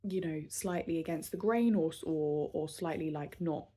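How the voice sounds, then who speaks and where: A woman in her thirties recording a casual vlog, talking unscripted and candidly, with many pauses, fillers and restarts. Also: low voice, warm tone, slightly rough. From southern England. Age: 30s. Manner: candid and self-reflective. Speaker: vlogger.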